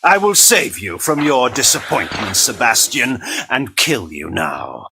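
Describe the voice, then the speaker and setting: An actor playing a proud, vengeful vampire lord in a video game, declaiming in a cold, formal and theatrical manner. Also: male voice, mid-range voice, sing-song, measured pace, background noise. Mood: contemptuous, vengeful. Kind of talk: dramatic video game voice acting.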